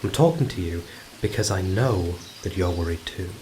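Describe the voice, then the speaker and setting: A man playing an anxious, brooding astronaut on a remote moon base in an audio drama, speaking haltingly with breathy urgency. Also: low-pitched, natural voice. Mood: worried. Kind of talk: audio drama acting, confiding recorded log. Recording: clear background noise.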